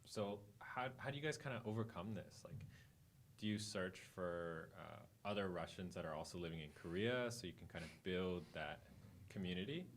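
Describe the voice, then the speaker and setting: Low-ish guy host, chill questions, lots of mm-hmms, faint hiss behind. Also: moderate pace. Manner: relaxed, frequent "mm" backchannels. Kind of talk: interview questions.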